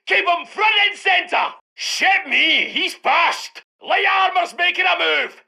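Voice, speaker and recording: An actor playing an aggressive Second World War British tank commander in a video game, with a Scottish accent and emphatic military commands. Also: male voice, very high voice, slow, creaky, sharp tone, shouting, full character voice. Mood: fierce, commanding. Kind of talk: video game unit voice lines.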